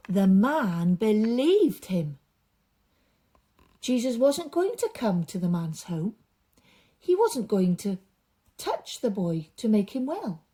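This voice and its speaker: A woman reading a storybook aloud for young children, with dramatic emphasis and drawn-out repeated words. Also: mid-range voice, very animated, warm tone, slightly rough.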